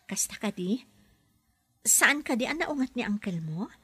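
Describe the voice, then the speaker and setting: A woman's voice: fairly high pitch, sing-song, unhurried, fairly bright tone, husky, no room sound, full character voice. An actress playing a young woman working as a nanny abroad, firm and defiant toward her boss, in a radio drama. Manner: firm, pushes back politely.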